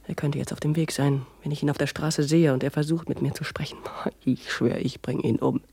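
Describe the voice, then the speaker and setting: Husky, bitter woman. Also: low voice, steady tone, a little fast, warm tone, no room sound, full character voice. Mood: threatening. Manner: bitter, accusing. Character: bitter, wounded, vengeful woman, once abused as a schoolgirl. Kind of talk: radio drama acting in German.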